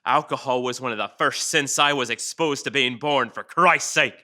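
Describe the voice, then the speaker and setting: A man's voice: mid-range voice, normal speed, pushed and bright. A man acting a hot-tempered, abrasive police detective who taunts and swears, in a crime drama table read. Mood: angry, hardened. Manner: gruffly confrontational, swearing, taunting.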